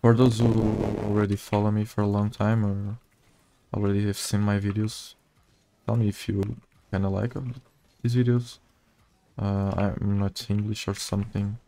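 A gamer with a Portuguese accent chats casually over his own play. His voice is a man's, on the low side, with a soft, mellow tone. He keeps it flat and low-key, as if half talking to himself. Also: normal speed. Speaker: gaming video creator. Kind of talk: casual gameplay commentary.

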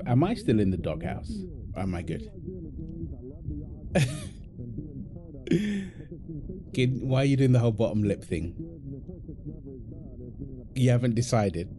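An affectionate British husband acted by a man in an intimate audio roleplay. His voice sits at a medium pitch and he takes his time, speaking in a casual, teasing way and often repeating short phrases. There is clear background noise behind him. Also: sing-song, slow pace, pauses often. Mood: tender, teasing. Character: affectionate, playful, apologetic husband, gamer with his mates. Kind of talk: intimate boyfriend-style audio roleplay, naturalistic.

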